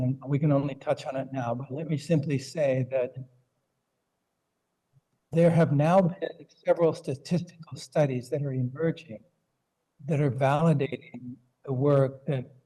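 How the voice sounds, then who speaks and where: Older man, mellow and measured. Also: mid-range voice, steady tone, rough recording. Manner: measured and earnest, with frequent ums. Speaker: conference participant. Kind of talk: comment in a conference Q&A.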